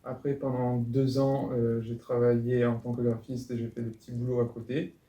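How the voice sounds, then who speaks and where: Relaxed man in his twenties, echoey room. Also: medium pitch, normal speed, lo-fi sound. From France. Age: 20s. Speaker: master's student. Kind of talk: casual podcast chat. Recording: echoey room with faint background hum.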